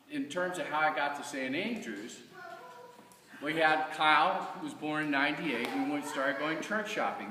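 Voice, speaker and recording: A middle-aged man with an American accent gives an informal, earnest personal testimony to a congregation in a halting, conversational way. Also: fairly high pitch, pushed and bright, slight background noise. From Kansas, United States. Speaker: church member giving a stewardship talk. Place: large reverberant room, speaking from off the mic. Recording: echoey church hall, distant mic.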